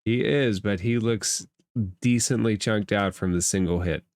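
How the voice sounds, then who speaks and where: A game master narrating a tabletop game in a measured, matter-of-fact way. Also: low-pitched, a little mellow, dry recording, studio quality.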